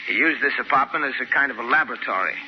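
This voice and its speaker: An actor playing a gruff, grumbling male building superintendent in 1940s radio drama style. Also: medium pitch, very fast, lightly acted. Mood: grudging. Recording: noisy, muffled old radio recording.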